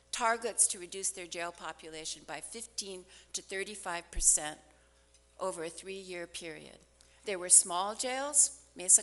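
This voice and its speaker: An older woman gives a prepared keynote speech at a podium, deliberate and stressing key phrases. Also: fairly low pitch, a bit bright. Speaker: foundation representative giving a keynote. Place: large hotel ballroom at a conference, on stage. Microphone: podium gooseneck microphone. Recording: hall reverb from conference ballroom PA.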